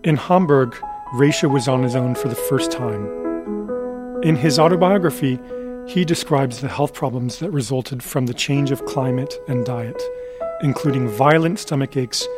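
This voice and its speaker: A man's voice: mid-range voice, measured pace, over loud music. A man delivering scripted documentary-style narration as a narrator.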